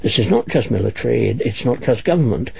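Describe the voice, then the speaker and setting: A man answering as an interviewed expert in a measured, precise, guarded manner. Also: medium pitch, lively intonation, gravelly, darkish tone, breathy edge, no echo.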